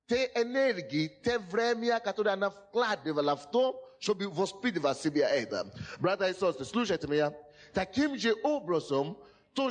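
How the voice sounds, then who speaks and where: A male pastor preaching a sermon to a congregation. His phrasing is slightly broken and non-native, and he repeats lines and often asks whether the listeners hear him. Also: high voice. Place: in front of a congregation.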